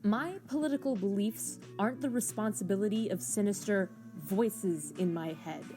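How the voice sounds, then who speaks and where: A woman's voice: medium pitch, normal speed, music in the background, lightly acted. A woman playing a proud, defensive woman politician in an amateur comic drama, speaking formally and indignantly. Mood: defensive, hurt.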